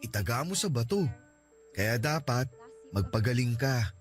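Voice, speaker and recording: A man's voice: mid-range voice, animated, normal speed, soft background music, close to an everyday voice. A man playing a devoted, anguished boyfriend. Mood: reassuring. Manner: gentle and reassuring, rising into tearful begging. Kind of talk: radio drama acting.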